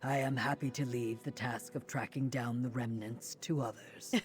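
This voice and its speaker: An actor playing a calm, thoughtful hero in a fantasy game, speaking in a measured, formal way. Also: male voice, mid-range voice, soft background music, close to an everyday voice. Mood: calm, relieved. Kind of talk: fantasy video game dialogue.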